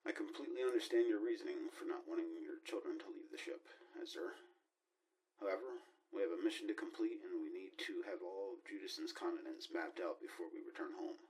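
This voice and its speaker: A man playing a commanding, impatient spaceship mission leader in an amateur audio drama, speaking in a measured, deliberate way. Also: deep voice, darkish tone, natural voice, low-quality recording.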